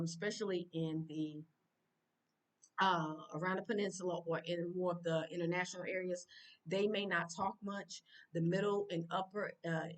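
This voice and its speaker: An American woman chats to her viewers in a loose, rambling way, full of fillers and restarts. Her voice sits a little lower than usual and is caught a bit far from the mic. The talk stays informal and direct throughout. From United States. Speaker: vlogger. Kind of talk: casual talk to camera.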